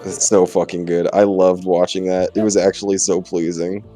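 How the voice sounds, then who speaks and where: A man's voice: low-pitched, little pitch movement, moderate pace, over music. A young man chatting casually and jokingly with a friend as a let's play host, sweary and sardonic.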